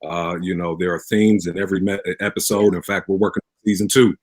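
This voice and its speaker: A man giving conversational interview answers, speaking haltingly and repeating words as he thinks. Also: deep voice, fairly even intonation, darkish tone, no room sound. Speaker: pastor and radio drama producer, interview guest.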